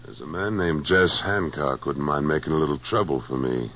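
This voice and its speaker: An actor in a 1950s radio western playing a tough American trail boss, clipped, cool and authoritative. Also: male voice, rumbling low, moderate pace, fairly bright tone, exaggerated voice. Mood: steady command. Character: tough, terse, commanding male trail boss. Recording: muffled old radio recording, faint hum.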